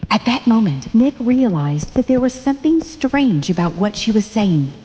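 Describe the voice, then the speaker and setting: A woman's voice: fairly high pitch, big pitch swings, moderate pace, warm tone, long reverb tail, highs cut off, slight background noise. A woman narrating a dramatic story from a script.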